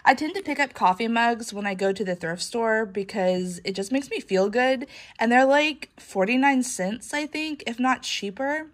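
A woman's voice: fairly high pitch, normal speed. A woman with a stuffy, congested nose, chatting in a rambling, casual way, with many "um"s and "like"s, while showing a shopping haul on her living room floor. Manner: chatty, rambling, frequent "um" and "like", laughs often. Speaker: reseller vlogger.

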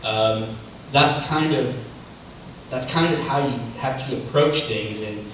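A man's voice: medium pitch, takes it slowly, a bit bright. A man speaking informally as a panelist, explaining his work at a conference. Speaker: panelist, digital communications staffer. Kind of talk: panel discussion answer. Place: hotel conference ballroom, recorded from the audience. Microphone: distant camera picking up the room sound. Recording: distant, very echoey room recording, faint noise.

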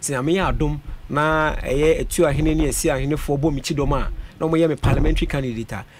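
A young man with a Ghanaian accent speaks into a studio mic on a radio talk show. His voice sits a little high, and he switches between Twi and English while listing election figures. A faint background hum stays under him. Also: steady tone, fast talker. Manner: mixes Twi and English, reels off vote figures. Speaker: parliamentary aspirant guest. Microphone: studio mic on a boom.

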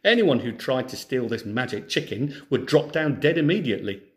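A wily farmer from a storybook, acted out by a male reader. He is confident and theatrical, stressing his words with wide swings of pitch. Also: very animated, normal speed, close to an everyday voice. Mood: breezy, sly.

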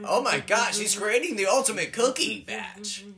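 A man's voice: high-pitched, moderate pace, a crowd in the background. A man voicing a cocky, wisecracking young cartoon hedgehog racer in a flippant, improvised comedy fandub. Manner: flippant, teasing.

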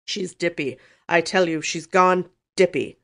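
A woman's voice: on the low side, unhurried, fairly bright tone. Woman putting on a blustering, angry old uncle. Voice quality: gruff, growling. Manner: snorting, emphatic.

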